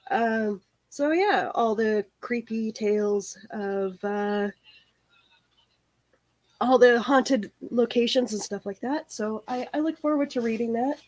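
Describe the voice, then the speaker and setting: A woman's voice: on the high side, a little mellow, no room sound, low-quality recording. A young woman with a cold and a cracking voice, hosting a live stream in a rambling, chatty way. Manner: rambling, chatty, answers viewer comments. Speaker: live stream podcast host. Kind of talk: casual live stream chat.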